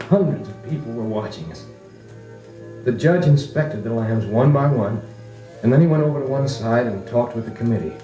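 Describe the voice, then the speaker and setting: An actor as a wistful American man telling of his farm boyhood, mellow and sincere. The old radio-drama record is echoey, with music behind him. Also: medium pitch, lively intonation, moderate pace, dull audio, close to an everyday voice. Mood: nostalgic. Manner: reflective, sincere storytelling. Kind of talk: 1940s radio drama narration.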